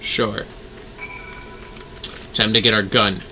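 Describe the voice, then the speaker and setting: A man's voice: lowish voice, flat delivery, slow, sharp tone, soft background music. A man livestreaming a game with casual commentary and offhand, joking, muttered asides.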